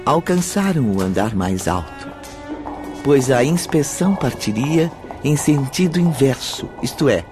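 A man's voice: medium pitch, animated, unhurried. A man reading scripted narration for a radio drama. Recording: music clearly behind the voice.

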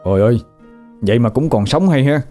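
A nosy young male ghost with a Southern Vietnamese accent, as a man plays him who chatters, grumbles and fumbles his words. His voice is dark and mellow at a mid pitch, with soft guitar under it in an audio story. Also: animated, a little fast, lightly acted. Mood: chatty. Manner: talkative, fussy, flustered.

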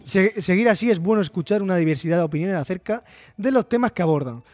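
High-voiced Murcian man reading messages. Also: animated, fast, darkish tone. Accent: Murcian Spanish. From Murcia, Spain. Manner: reads messages aloud, joking, swears casually. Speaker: podcast host reading listener messages. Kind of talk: casual group chat.

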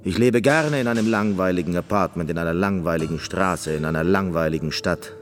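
An actor playing a neurotic, eccentric and reclusive concert pianist in a radio play, obsessive, pedantic and self-absorbed. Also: male voice, low voice, brisk, faint music behind, close to an everyday voice. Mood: defiant. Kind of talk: radio play monologue and dialogue.

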